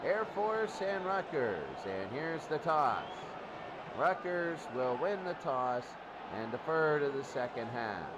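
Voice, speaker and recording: A man's voice: a little high, big pitch swings, slow, lo-fi sound. A man calling American football play by play as a live commentator. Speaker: play-by-play sports announcer. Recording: very noisy, outdoor-like background din.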